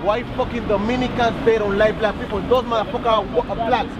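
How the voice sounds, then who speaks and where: A man's voice: high voice, steady tone, a little fast. A man from Rica, agitated and swearing as he questions street preachers. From Costa Rica. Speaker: passerby asking a question. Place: busy city street outdoors. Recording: loud street crowd and traffic.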